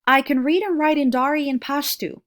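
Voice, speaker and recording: A woman's voice: high-pitched, normal speed, voice pushed up, lightly acted. A woman voicing an earnest young girl who works as a letter reader in a storybook. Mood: earnest, polite.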